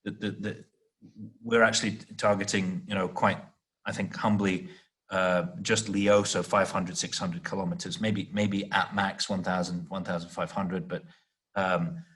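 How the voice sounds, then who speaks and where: A man answering as an executive guest in an interview, explaining off the cuff with halting, repeated words as he searches for phrasing. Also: low voice, level tone, a bit bright. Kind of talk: panel interview, extemporaneous explanation.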